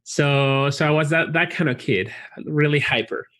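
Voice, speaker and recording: A man with a Chilean Spanish accent, a college recruitment director, talking in a friendly, relaxed way with frequent restarts in a casual interview. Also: fairly high pitch, moderate pace. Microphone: video call.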